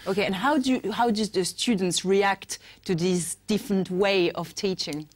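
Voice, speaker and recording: A woman's voice: medium pitch, moderate pace. Female bulletin presenter and interviewer, mid-range voice, scripted delivery.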